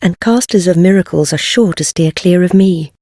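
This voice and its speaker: A woman playing a wry, world-weary heretical witch in a fantasy game, speaking in measured, archaic phrases with gentle teasing. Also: on the low side, no echo, studio quality. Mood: appalled, pleading. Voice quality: husky, breathy. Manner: measured, archaic formal speech, softly teasing. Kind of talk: scripted fantasy game voice acting.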